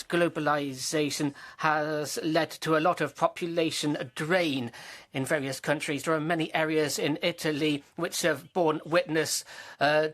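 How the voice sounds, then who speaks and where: A conference interpreter rendering a speech into English, halting with frequent restarts and fillers. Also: medium pitch, a bit bright. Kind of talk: simultaneous interpretation.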